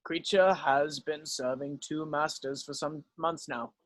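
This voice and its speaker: Man playing a grave old wizard headmaster, high-pitched. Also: steady tone, fairly bright tone, no room sound. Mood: solemn, explaining. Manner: measured, solemn, explanatory. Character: grave, weary old male wizard headmaster, gently remorseful. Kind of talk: dramatic script read-through.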